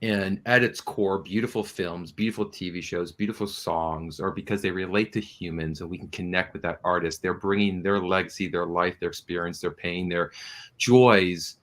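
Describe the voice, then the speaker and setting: A man with a low-pitched voice answers as an interview guest. He is earnest and explanatory, feeling his way through each point in a measured, conversational manner. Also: steady tone, a bit bright. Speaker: interview guest, audio drama producer.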